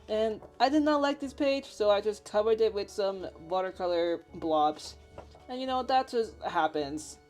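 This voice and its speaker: A woman's voice: on the low side, darkish tone. Giggly young woman with a Finnish accent. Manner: bubbly, giggly, rambling with fillers. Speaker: artist showing her sketchbook. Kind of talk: casual voice-over chat. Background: faint background music.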